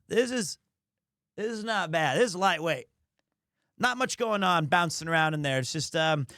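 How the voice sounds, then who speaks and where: A man's voice: fairly high pitch, a bit bright, no echo, studio quality. A man hosting a livestream with casual, joking, self-deprecating rambling. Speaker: streamer, host. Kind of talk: casual livestream chat with viewers.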